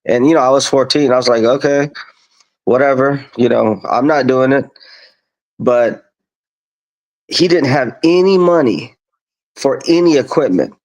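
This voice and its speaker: A man in his fifties from Kansas, born in Colombia, telling his story casually and deliberately as a podcast guest. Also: medium pitch, rough recording. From born in Colombia, raised in Kansas, USA. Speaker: podcast guest, lawn business owner.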